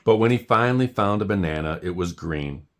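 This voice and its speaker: Low-voiced man reading a bedtime story. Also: expressive, brisk, fairly bright tone. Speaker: storybook narrator. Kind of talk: scripted children's bedtime story narration.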